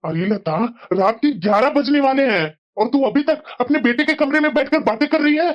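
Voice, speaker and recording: A woman's voice: high voice, a little fast, muffled recording, lightly acted. A woman voicing a grumbling but sensible elderly husband who scolds and reasons in a family cartoon. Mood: impatient. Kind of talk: Hindi family cartoon voice acting.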